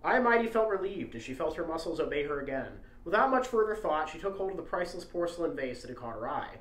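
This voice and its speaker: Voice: mid-range voice, sing-song, fast talker, fairly bright tone. A podcast co-host who moves between dramatic story narration and casual chat. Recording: echoey, roomy recording.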